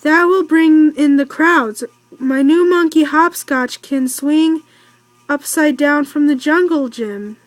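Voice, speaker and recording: A woman reading the part of a cheerful, excitable girl in a children's storybook, bubbly but halting. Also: sits high, dry recording, low-quality recording. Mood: proud. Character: cheerful, excited girl proud of her parrot. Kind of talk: children's storybook read-aloud.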